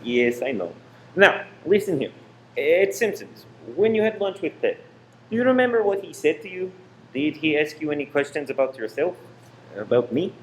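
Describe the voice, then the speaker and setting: A man's voice: on the high side, sing-song, normal speed. Male actor as secretive, controlling cinema owner in radio drama; insistent delivery, echoey room, faint noise. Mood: suspicious. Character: tense, secretive married man running a cinema saboteur scheme. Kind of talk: amateur radio play acting. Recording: roomy echo with faint noise.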